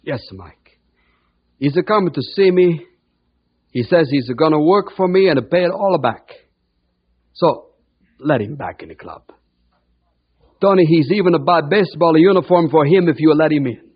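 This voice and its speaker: Kindly adult, gentle hesitant coaxing, animated, dull old radio drama sound. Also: male voice, fairly high pitch, moderate pace, pauses often, husky. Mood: gently pleading. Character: kindly adult pleading for boys to forgive. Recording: dull vintage radio recording.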